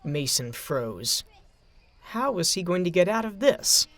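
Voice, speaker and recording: A man narrating a scripted story in a dramatic, expressive storytelling manner. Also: high-pitched, unhurried.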